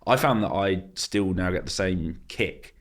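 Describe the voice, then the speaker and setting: Male podcast host with a very low voice, easygoing conversational delivery. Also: a little slow. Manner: relaxed, laughs easily. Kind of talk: casual podcast chat.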